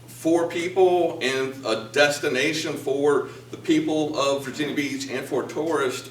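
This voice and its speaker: A man presenting a project, answering a question at a public meeting in a measured, explanatory way. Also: medium pitch, a little hiss or hum. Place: public meeting room, indoors. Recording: echoey room, voice picked up from a distance.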